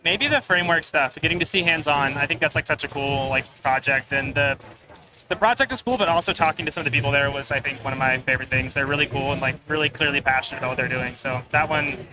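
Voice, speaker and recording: A young man's slightly high voice comes through a loud crowd on the expo floor. He talks in a laid-back, easy way and leans on "like" a lot as a filler. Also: fast talker, low-quality recording. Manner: relaxed, says "like" often. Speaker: guest creator. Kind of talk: casual live interview. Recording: live expo crowd behind.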